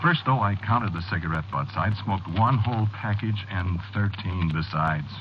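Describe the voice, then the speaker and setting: An actor playing an anxious, haunted office man, confiding haltingly in an old-time radio horror drama. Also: sits low, noisy background, natural voice. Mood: brooding, haunted. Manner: halting, brooding, confiding in the listener. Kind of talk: old-time radio horror acting, first-person narration. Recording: dull old radio drama recording, music behind.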